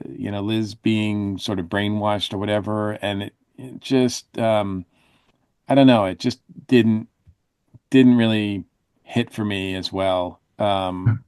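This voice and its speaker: Halting low-pitched man in a dry recording. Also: fairly even intonation, a little mellow, clear, resonant tone, dry recording. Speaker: podcast panelist. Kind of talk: casual review chat.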